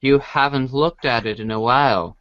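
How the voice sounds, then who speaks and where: A man narrating a storybook read-along as co-host, reading haltingly with stumbles, on a dry, slightly dull recording. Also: medium pitch, fairly bright tone, slight background noise.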